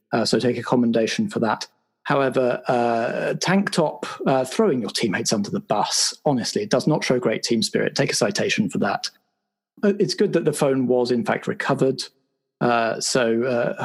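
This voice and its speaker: Man acting as bureaucratic spy chief, slightly husky, formal, hesitant, politely pedantic, improvised audio roleplay. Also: medium pitch. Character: officious, bureaucratic male spy agency superior running a debriefing.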